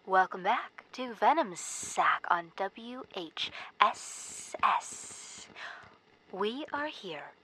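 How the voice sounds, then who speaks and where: A woman's voice: medium pitch, very animated, pushed and bright, exaggerated voice, poor audio. A woman playing a hip late-night fifties radio host, drawing out her jive talk through an old radio broadcast with static. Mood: smooth, playful. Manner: drawn-out, jive-talking. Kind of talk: 1950s radio DJ acting.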